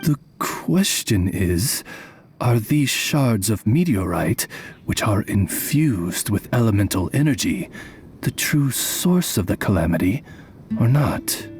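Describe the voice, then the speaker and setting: A man voicing a formal, calm male raven familiar in a video game, speaking in courtly phrasing. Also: fairly low pitch, takes it slowly. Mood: measured. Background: soft game music.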